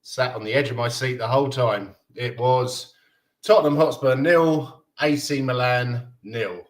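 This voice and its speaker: A man's voice: medium pitch, unhurried. A man from Denmark in his thirties hosting a casual fan live stream, chatting loosely and reading viewer comments aloud with frequent laughs. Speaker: fan livestream host.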